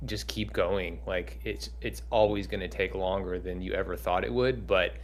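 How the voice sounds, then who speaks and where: Deep-voiced man giving earnest advice. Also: fairly even intonation, normal speed, a little hiss or hum. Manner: earnest, encouraging, rambling. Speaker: film director and animator. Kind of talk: panel Q&A answers.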